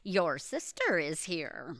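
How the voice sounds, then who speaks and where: A woman's voice: lowish voice, wildly swooping pitch, slow, a bit bright, no room sound, studio quality, exaggerated voice. A woman acting in an audio drama as a proper, fretful middle-aged mother who is easily scandalised, prim and fluttery. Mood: fussing.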